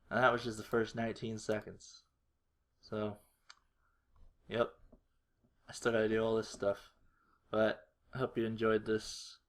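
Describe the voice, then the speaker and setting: A man thinking aloud through a casual screen-recorded tutorial, halting and self-deprecating, muttering to himself. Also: fairly low pitch, creaky. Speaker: video creator demonstrating editing. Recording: noisy home mic with keyboard clicks. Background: typing and mouse clicks.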